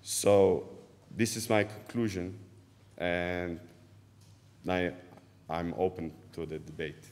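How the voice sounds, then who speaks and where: A man from Slovenia, a film director speaking on a panel in a measured, earnest way. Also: sits low, a little mellow. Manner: measured, earnest, with small restarts. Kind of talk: panel talk. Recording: hall reverb.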